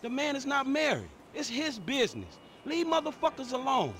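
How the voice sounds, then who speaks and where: A skeptical streetwise man speaks in a high, animated voice over faint street noise. He is blunt, profane and irritated, as a video game character. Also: normal speed, exaggerated voice. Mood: indignant. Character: gruff, skeptical streetwise man, quick to swear. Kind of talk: video game cutscene acting.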